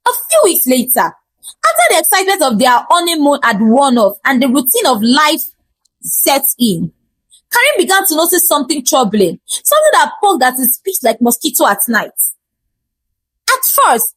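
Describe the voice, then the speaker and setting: A woman telling a scripted folktale in an expressive, theatrical and humorous storytelling style. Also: high-pitched, dry recording, poor audio.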